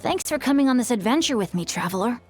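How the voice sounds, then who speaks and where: Woman voicing a sweet, high-voiced young adventurer. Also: fast talker, music in the background, lightly acted. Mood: grateful, moved. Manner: heartfelt, expressive. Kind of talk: video game voice acting.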